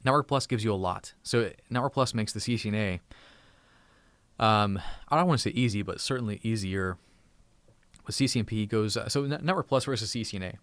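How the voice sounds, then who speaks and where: A man in his thirties chats with his viewers on a live stream from home, upbeat and thankful, often tripping over and repeating words. His voice sits fairly low and sounds dry and close to the mic. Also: fairly quick, a bit bright, no room sound. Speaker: tech channel host. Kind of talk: casual live stream chat. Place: home room.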